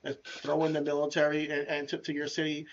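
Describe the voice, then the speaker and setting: A man's voice: a little high, completely flat delivery, quick pace, highs cut off. A man co-hosting a casual podcast chat, talking bluntly and animatedly in slangy speech. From United States. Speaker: podcast co-host.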